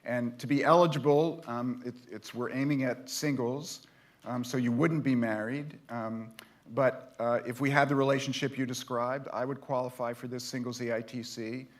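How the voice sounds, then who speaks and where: Man, policy panelist, careful and halting delivery, conference setting. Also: mid-range voice, steady tone. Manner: measured, hesitant with fillers. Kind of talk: policy forum discussion. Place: conference hall.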